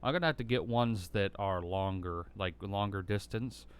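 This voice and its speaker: A young American man with a deep, bass-heavy voice and a smooth, clear tone. He rambles casually while gaming, stringing thoughts together with frequent ums, likes and restarts. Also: normal speed. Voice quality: bassy voice. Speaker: gaming YouTuber. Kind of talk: casual gameplay commentary.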